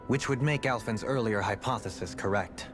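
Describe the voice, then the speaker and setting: An actor playing a thoughtful, analytical young male companion in a video game cutscene, reasoning in a measured way. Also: fairly low pitch, music in the background, natural voice. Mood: questioning. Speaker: game character.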